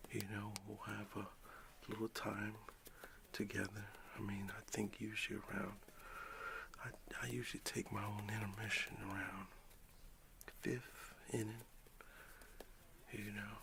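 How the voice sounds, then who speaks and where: A man playing a warm, excited father who talks affectionately and in a folksy way to his young son in a breathy whispered ASMR roleplay. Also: on the low side, slight background noise, exaggerated voice. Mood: gentle, playful. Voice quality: breathy whisper with gravelly creak. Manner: unhurried, folksy, affectionate, with long pauses.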